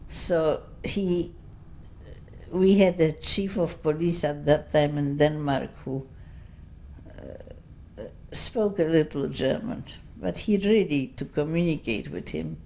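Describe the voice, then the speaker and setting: Slow, gravelly older woman recalling the past. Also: deep voice, dark tone, a little hiss or hum. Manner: slow, rambling, anecdotal with many asides; speaks English as a second language. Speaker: interviewee giving oral history testimony. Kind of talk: unscripted recollection.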